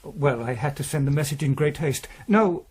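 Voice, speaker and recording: A man's voice: fairly high pitch, lively intonation, moderate pace, darkish tone, slightly rough. A man playing a kindly, anxious, devout head of a priory in a period radio drama, speaking earnestly.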